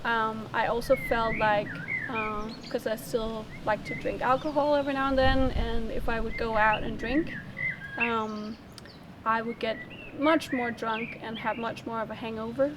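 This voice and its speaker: A woman from Denmark telling her personal story in an interview, in a halting, thinking-aloud way. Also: a little high, steady tone, background noise.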